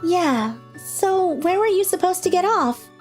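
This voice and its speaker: Woman voicing a high, caring schoolgirl. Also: animated, a little slow. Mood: gentle caring. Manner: warm, caring, chatty. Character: kind, gentle high school girl. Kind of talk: manga dub voice acting and narration. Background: faint music.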